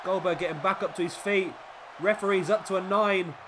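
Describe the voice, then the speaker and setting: Gamer commentator: man, slightly high voice, a bit sniffly from a cold, excitable play-by-play, clear background noise. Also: fairly quick. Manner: excitable, rising during big moves. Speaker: gaming YouTuber commentating a wrestling game.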